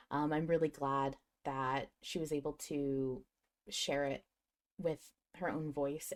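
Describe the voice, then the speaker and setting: A woman hosting a podcast, speaking earnestly in casual, reflective chat. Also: low-pitched. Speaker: podcast host.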